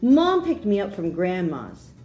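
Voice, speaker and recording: A woman reading a children's storybook aloud, with expressive, deliberate phrasing for young listeners. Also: mid-range voice, dull audio, soft background music.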